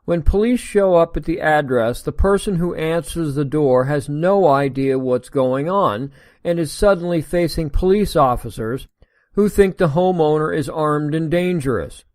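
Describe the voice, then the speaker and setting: A man's voice: a little high, unhurried, a little mellow, no room sound. An American man hosting a podcast, reading an article aloud and breaking off into sarcastic, indignant asides. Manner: sarcastic, indignant asides between read passages. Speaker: podcast host. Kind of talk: casual solo commentary reading an article.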